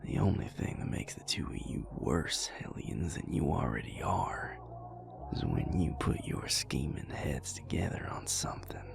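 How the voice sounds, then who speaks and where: Man voicing a male vampire lover, audio roleplay, heavy vocal fry, widely swooping murmur, stubborn, fond, teasing, loud music behind. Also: sing-song, measured pace, in a whisper, heavily acted. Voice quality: gravelly, breathy low murmur. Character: dry, affectionate, stubborn Southern male vampire boyfriend. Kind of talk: intimate scripted audio roleplay. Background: club music.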